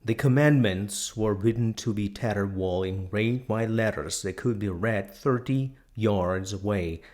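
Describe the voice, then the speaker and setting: A man reading a scripted storybook narration in a measured, deliberate manner. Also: fairly low pitch, a little mellow.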